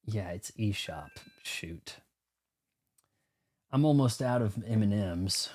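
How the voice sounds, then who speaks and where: A man's voice: lowish voice, animated, takes it slowly, a little mellow. A man giving casual, rambling let's play commentary on a video game, talking to himself as he goes. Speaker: streamer playing a video game. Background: game music.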